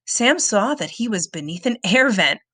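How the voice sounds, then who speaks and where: A woman reading a children's book aloud as a storyteller, with expressive, dramatic and suspenseful delivery. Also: medium pitch, normal speed, no echo, clean studio sound.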